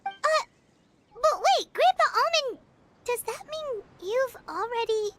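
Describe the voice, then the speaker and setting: A woman voicing a tiny, eager, plucky pixie girl in a video game, squeaky and exclamatory. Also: lively intonation, takes it slowly, background noise, full character voice. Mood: curious. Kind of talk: video game character acting. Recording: loud background music behind the voice.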